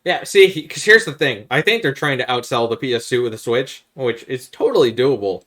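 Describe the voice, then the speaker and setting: A man's voice: mid-range voice, lively intonation, fairly quick, fairly bright tone. Explanatory man chatting on a gaming podcast. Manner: explanatory, makes points step by step. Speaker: podcast host. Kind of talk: casual gaming podcast chat.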